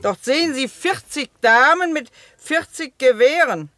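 Ein Schauspieler spielt in einer Krimikomödie im Radio einen übertrieben höflichen, neckischen Bandenchef. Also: male voice, very high-pitched, expressive, unhurried, sharp tone, dry recording, clean studio sound, exaggerated voice. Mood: politely menacing. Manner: exaggeratedly polite, teasing. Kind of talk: radio comedy crime drama acting.

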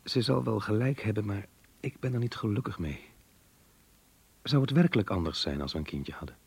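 A man's voice: lowish voice, lively intonation, a little fast, creaky, a little mellow, slightly rough, full character voice. An actor playing a disillusioned married schoolteacher confiding in his diary in a radio drama, introspective and weary. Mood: brooding, regretful.

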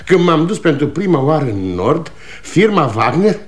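Casting: stubborn, blustering old salesman father, rambling, highly animated pitch, 1960 radio-play delivery. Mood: wistful, indignant. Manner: agitated, blustering, rambling with repeats and interjections. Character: aging salesman father, proud, stubborn, self-contradicting, muttering. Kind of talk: 1960 Romanian radio theatre acting.

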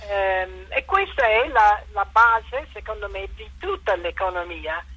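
Slow, halting woman with a husky edge. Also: medium pitch, lively intonation, bright, forceful tone, poor audio. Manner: hesitant, halting, searching for words. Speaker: interviewed author and thinker. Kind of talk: unscripted interview answers explaining ideas.

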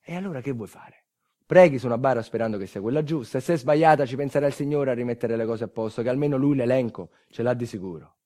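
An actor playing a bitter, resigned earthquake survivor in a theatrical storytelling monologue, sardonic and folksy, with wry asides. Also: male voice, medium pitch, fast talker, dark tone, close to an everyday voice. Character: bitter, resigned southern Italian earthquake survivor telling his tale.

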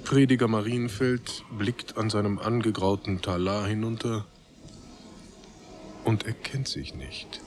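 Male drama narrator: low-set voice, drawn-out deliberate pacing, literary scripted reading. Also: a little hiss or hum.

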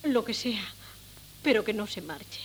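A woman's voice: mid-range voice, animated, unhurried. An actress playing a fretful, doting middle-aged mother in a 1970s radio drama, with theatrical, emotional delivery. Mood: anxious, fond. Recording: noisy old cassette radio recording with hum.

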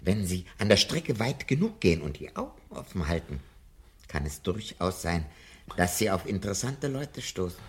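An actor playing an elderly, learned professor, courteous and anxious, in a 1970s German radio drama. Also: male voice, low-pitched, sing-song, normal speed. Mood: cautious, confiding. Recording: roomy, faintly noisy vintage radio recording.

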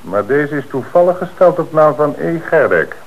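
A man acting a calm, confident police commissioner with measured authority in a Dutch radio play. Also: fairly high pitch, phone-quality sound. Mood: firm, questioning. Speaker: actor in a radio crime play. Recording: noisy, band-limited old radio recording.

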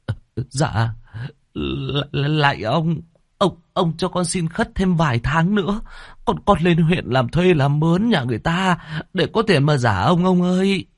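A man voicing a desperate poor peasant who stammers, pleads and wails in a high, strained voice. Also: very animated, slow, vocal fry, long pauses, no echo, clean studio sound, heavily acted. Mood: pleading.

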